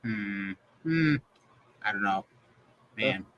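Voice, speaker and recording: Lively, jokey man hosting a livestream. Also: on the low side, slow, muffled recording, slight background noise. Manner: jokey, laughs often, chatty. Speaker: livestream host. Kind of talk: casual livestream chat.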